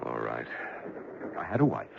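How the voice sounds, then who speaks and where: A muffled, noisy radio drama recording carries a very low-voiced middle-aged widower, a businessman gone bitter. His delivery is earnest and hesitant, swinging widely in pitch as he presses his point. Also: very animated, normal speed, gravelly, exaggerated voice. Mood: grieving, confessional. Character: bitter, well-to-do widowed businessman, older, plain-spoken and earnest.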